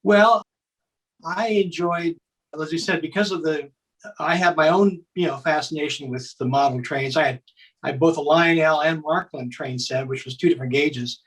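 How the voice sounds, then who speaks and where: A man's voice: fairly high pitch, moderate pace, fairly bright tone, slightly rough, low-quality recording. A man speaking conversationally as an interview guest, looking back on something he enjoyed as a fan. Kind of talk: conversational reminiscing.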